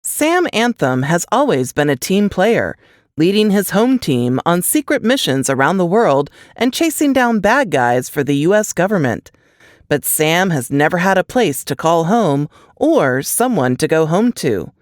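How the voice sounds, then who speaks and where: A woman narrates a scripted story teaser in a slightly low voice with lively, swooping intonation. The recording is close and dry, and the delivery is that of a dramatic book trailer. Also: unhurried, dry recording.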